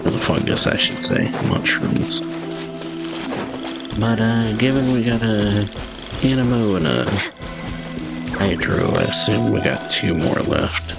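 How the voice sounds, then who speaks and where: A man's voice: on the low side, a little slow, gravelly, long pauses. A man giving laid-back, casual let's play commentary, musing aloud in short fragmentary remarks. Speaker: gaming channel host. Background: game music.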